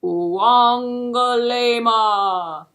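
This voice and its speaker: A woman voicing a patient, determined tortoise in a puppet-show tale, drawing out words slowly and deliberately. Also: on the high side, fairly bright tone, voice pushed up. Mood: persistent, deliberate. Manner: slow drawn-out deliberate speech. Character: slow, patient, determined tortoise, unhurried and persistent.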